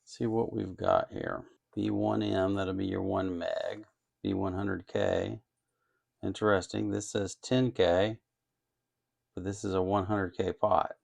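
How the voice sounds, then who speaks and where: Man, low-pitched, heavy vocal fry, casual workbench narration, unscripted, musing, hesitant. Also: slow pace, a little mellow. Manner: thinks aloud, musing and hesitant. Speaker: host of an electronics kit-building channel.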